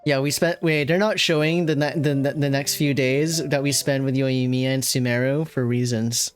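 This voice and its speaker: A woman's voice: extremely low pitch, steady tone, moderate pace, soft background music. A woman game streamer giving casual live commentary, reacting aloud in a slangy way.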